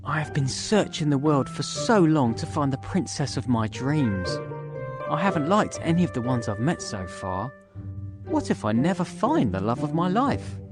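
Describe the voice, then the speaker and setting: A man's voice: on the high side, very animated, normal speed. A man playing a picky, lovelorn young prince in a children's story, with a fussy, complaining way of talking. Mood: wistful. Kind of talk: scripted children's story dialogue. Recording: prominent music behind the voice. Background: music.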